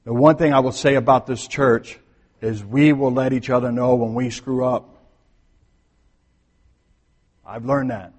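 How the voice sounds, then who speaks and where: Husky-voiced male pastor preaching in a reverberant hall. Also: mid-range voice, fairly even intonation, unhurried, pauses often. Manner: conversational preaching, swings from soft to emphatic. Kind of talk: sermon. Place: church sanctuary.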